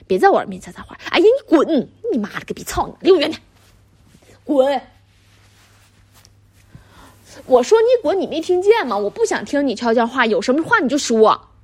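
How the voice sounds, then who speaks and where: A woman performs a middle-aged wife in a dramatic, one-sided row with her husband. Her high, husky voice swings widely as she scolds with sarcasm and coarse swearing. She has a Northeastern Chinese accent and sounds furious and scornful. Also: very animated, quick pace, dry recording. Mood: angry, disgusted.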